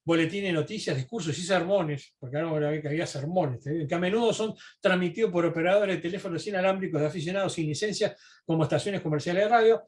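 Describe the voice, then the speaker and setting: High-pitched man giving a halting lecture. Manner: explanatory, halting, with asides and tag questions. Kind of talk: informal history lecture, reading and commenting on documents.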